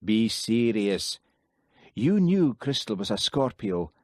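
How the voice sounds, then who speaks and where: A dogged Highland village policeman, as a male narrator puts him on, speaking in a lowish voice with a Scottish Highland accent. He talks in a dry, sarcastic way, and the close, dry recording suits this audiobook. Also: sing-song, slow pace, no echo, heavily acted. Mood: stern.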